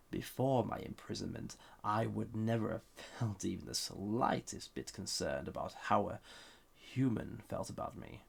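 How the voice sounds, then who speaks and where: Male actor as accursed immortal villain, very gravelly, breathy, slow, hesitant, dramatic pauses, intimate roleplay audio. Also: fairly low pitch, full character voice. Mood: wistful, regretful. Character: banished ancient villain, accursed living weapon, lonely and flirty.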